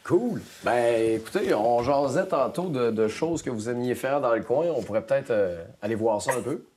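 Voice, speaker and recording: A man's voice: mid-range voice, lively intonation, moderate pace, darkish tone, a little hiss or hum. A man with a Québécois accent hosting a casual interview, asking easygoing questions. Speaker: host.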